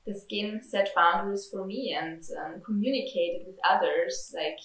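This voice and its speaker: A woman's voice: medium pitch, moderate pace, fairly bright tone. A woman, speaking hesitantly with many fillers as she searches for words in a candid interview. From Austria. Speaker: retreat participant. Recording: roomy, distant mic.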